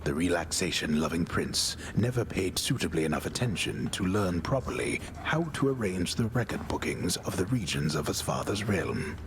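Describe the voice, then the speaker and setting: An ultra-deep, hushed old bard. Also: male voice, animated, moderate pace, creaky, hardly any pauses, background noise. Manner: hushed, ornate, mock-solemn. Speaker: narrator. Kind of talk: video game narration. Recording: game audio with music behind.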